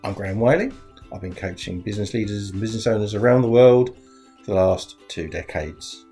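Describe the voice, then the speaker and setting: A man hosting a business coaching podcast, opening the show in an upbeat presenting manner. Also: brisk. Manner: lively, upbeat presenting with wide pitch swings. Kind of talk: scripted podcast intro. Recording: faint guitar music behind. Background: faint plucked guitar music.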